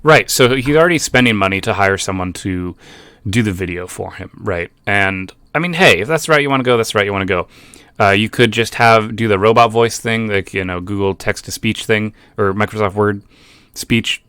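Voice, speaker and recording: Skeptical low-voiced man rambling. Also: a little fast, sharp tone, clean tone, no room sound. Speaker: YouTube commentator. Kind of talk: casual reaction commentary.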